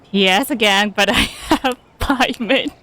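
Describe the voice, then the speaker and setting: A woman's voice: medium pitch, slow, background noise. A woman giving casual, giggly and reactive commentary as she streams a video game.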